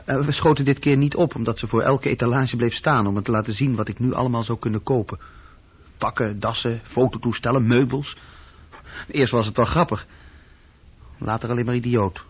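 A man's voice: medium pitch, fairly even intonation, fairly quick, husky, lightly acted. An actor playing a restless, self-reproaching young office clerk who tells his story hesitantly and conversationally in a radio play. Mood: reflective, restless. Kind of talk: radio play dialogue and recollection. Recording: thin, phone-like old radio recording.

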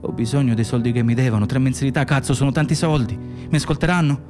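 An actor playing an exhausted, anxious immigrant nurse in a radio play, speaking in fragmented, repetitive phrases. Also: male voice, mid-range voice, fast, over music, natural voice. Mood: weary, anxious. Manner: fragmented, self-muttering, repetitive. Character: exhausted, anxious Moroccan immigrant nurse, man, muttering inner thoughts. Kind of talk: radio theatre interior monologue and dialogue.

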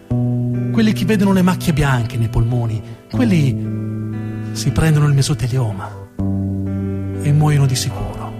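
A man giving a scripted dramatic narration as a theatre storyteller, measured and deliberate, with pauses and emphatic repetition. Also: medium pitch, animated. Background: plucked guitar music.

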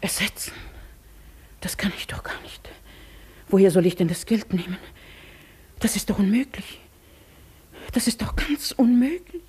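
A woman's voice: medium pitch, expressive, unhurried, pauses often, a little mellow, whispered, natural voice. An actress in a German radio play, playing a distraught, genteel lady with a formal, anxious manner. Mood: despairing.

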